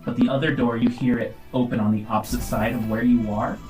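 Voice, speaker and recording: A man's voice: mid-range voice, steady tone, fast, darkish tone, rough recording. Male game master over prominent music. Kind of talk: improvised tabletop game narration.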